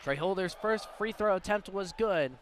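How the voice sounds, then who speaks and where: A high-pitched male radio announcer gives live play-by-play of a game. His voice sits over the ambient noise of a sports venue, following the action in the manner of a broadcast commentator. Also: moderate pace. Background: clear ambient venue noise.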